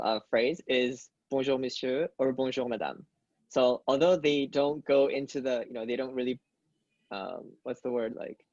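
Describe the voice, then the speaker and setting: A man's voice: mid-range voice, no echo, lo-fi sound. A young man from Canada chatting casually on a video call, hesitant with many fillers and restarts. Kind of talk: casual group chat.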